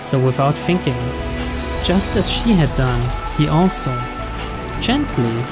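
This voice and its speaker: A man with a slightly high voice reads story narration aloud for a game playthrough. Clear music plays behind him as he narrates the visual novel. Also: big pitch swings, takes it slowly.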